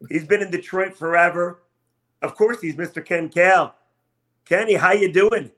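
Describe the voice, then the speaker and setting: A man's voice: high-pitched, normal speed, a bit bright. A man hosting a sports podcast, talking and asking questions in an enthusiastic, emphatic way. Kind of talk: upbeat sports talk and interviewing.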